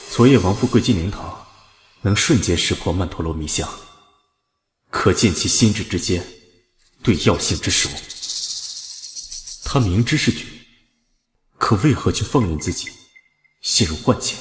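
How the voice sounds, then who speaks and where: A man playing a shrewd, composed investigator in a drama, speaking with deliberate, authoritative reasoning. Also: medium pitch, expressive, a little hiss or hum, lightly acted. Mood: pensive. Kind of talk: dramatic audio drama acting.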